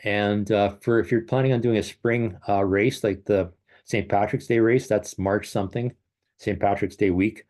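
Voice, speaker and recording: Guy in his 60s, kinda low voice, running coach rambling on a video call, fixes his words as he goes. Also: steady tone, normal speed, darkish tone. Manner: rambling, self-correcting, upbeat asides. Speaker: running coach and race director. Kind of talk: casual talk to a virtual clinic. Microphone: computer video call.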